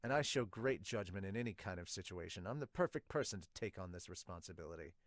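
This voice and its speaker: A man reads as a proud young soldier from a manga, in a fairly low voice. He is assertive and self-assured, stating things plainly in a dry recording. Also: lively intonation, fast talker, dry recording. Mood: confident.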